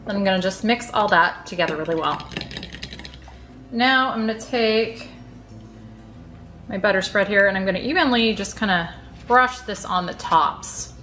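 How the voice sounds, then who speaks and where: A woman's voice: mid-range voice, moderate pace, long pauses, bright, forceful tone. Chatty woman in an echoey kitchen. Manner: chatty, friendly, easygoing explanations. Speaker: cooking channel host. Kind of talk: casual recipe walkthrough voice-over.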